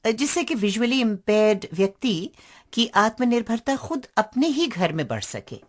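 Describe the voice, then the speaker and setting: A woman's voice: a little high, big pitch swings, moderate pace. A woman with an Indian accent asking prepared questions as a radio interviewer.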